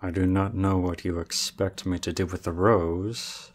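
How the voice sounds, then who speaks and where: A man playing an aloof, regal dragon in human form for an ASMR roleplay, speaking in formal, measured, deadpan phrases. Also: low-pitched, a little hiss or hum. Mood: reserved, mildly puzzled. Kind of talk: ASMR roleplay audio drama.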